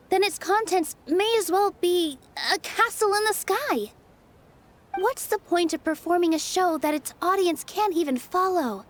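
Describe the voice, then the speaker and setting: An actress playing an earnest, gentle young female dancer in a game cutscene, speaking carefully and politely. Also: very high voice, a little hiss or hum.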